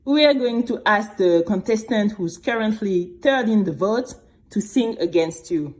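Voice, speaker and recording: A woman voicing an oily, scheming male company president in an audio drama, condescending and deliberately soothing. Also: mid-range voice, animated, darkish tone, lightly acted. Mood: smug, reassuring.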